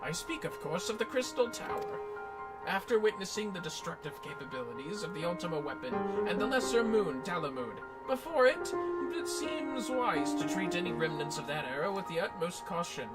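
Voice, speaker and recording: A man voicing a learned, formal expedition leader from a fantasy game, with pompous, deliberate, ornate delivery. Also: high-pitched, sing-song, loud music behind the voice, low-quality recording. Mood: solemn, expository. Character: learned, formal archaeologist leading an expedition, grandly wordy. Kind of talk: dramatic reading of fantasy game dialogue.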